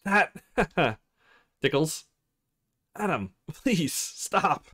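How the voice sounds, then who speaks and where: A man's voice: on the high side, very animated, extremely slow, bright, forceful tone, pitched above the performer's own voice, full character voice. A man voicing a playful, easily flustered young woman from a visual novel game. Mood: giggly, pleading.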